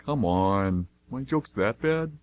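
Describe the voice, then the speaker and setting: A man's voice: on the low side, lively intonation, takes it slowly, lo-fi sound. A man playing a sarcastic, skeptical and somewhat nervous friend who wisecracks, in an amateur radio drama. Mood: joking.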